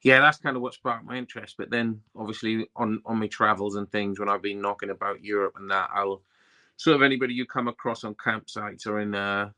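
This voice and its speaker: Laid-back Welsh man, bright voice. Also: lowish voice, flat delivery, a little fast. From Wales. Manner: laid-back, rambling, lots of "you know what I mean". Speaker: tarot reader guest. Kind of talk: casual interview chat.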